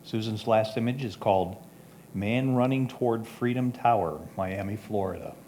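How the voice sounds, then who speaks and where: A man's voice: lowish voice, moderate pace, warm tone. A man reading prepared photo comments aloud at a photography club presentation. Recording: faint background noise.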